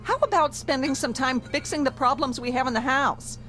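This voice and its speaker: A woman's voice: sits high, brisk, a bit bright, soft background music. A woman playing a domineering, bigoted, nagging wife in a radio drama, scolding and sarcastic. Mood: irritated scolding.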